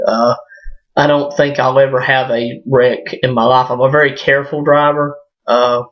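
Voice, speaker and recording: A hoarse man, his voice cracking a little, talks straight to camera in a loose and halting way, full of fillers and restarts. His pitch sits mid-range for a man. The sound is dull and lo-fi, picked up by the device's built-in mic. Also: fairly bright tone. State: hoarse, voice cracking from a sinus illness. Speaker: vlogger. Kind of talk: casual personal vlog monologue. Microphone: built-in device mic, no external microphone.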